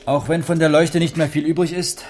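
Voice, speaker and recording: A man's voice: a little high, little pitch movement, brisk, darkish tone, lightly acted. Actor as a methodical policeman, slightly noisy recording. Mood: businesslike. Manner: formal, matter-of-fact, precise. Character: sober, methodical male traffic policeman taking a statement. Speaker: police officer taking a report. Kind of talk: radio crime drama acting. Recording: noisy 1980s radio play recording.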